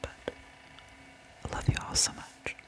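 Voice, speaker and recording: Female ASMR host: hushed breathy whisper, unhurried delivery, soft-spoken direct address to viewers. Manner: soft-spoken, repeats words gently. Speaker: host of a true crime ASMR channel.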